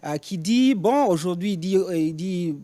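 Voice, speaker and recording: A man's voice: high voice, animated, moderate pace, dark tone. A man from DR Congo with a Congolese French accent, answering interview questions on current affairs and often repeating words as he searches for phrasing. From DR Congo, Rutshuru in North Kivu.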